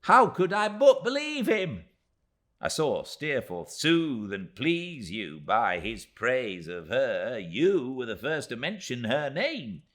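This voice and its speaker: A man's voice: medium pitch, huge pitch swings, slow pace, fairly bright tone, pitched above the performer's own voice, exaggerated voice. A man reading a storybook and voicing a tiny, emphatic, indignant middle-aged woman in distress. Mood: indignant, anguished.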